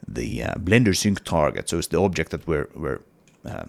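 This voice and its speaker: A man's voice: sits low, huge pitch swings, normal speed, vocal fry, no room sound. A man with a cold and a partly lost voice, talking casually through his game level in a hesitant devlog with many fillers. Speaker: indie game developer.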